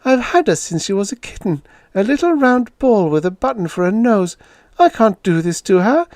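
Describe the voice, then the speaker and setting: A man voicing a lonely, frightened woman who pleads and cries out in a high, anxious voice. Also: sing-song, moderate pace, darkish tone, no echo, studio quality. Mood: tearful. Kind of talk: audiobook character voice.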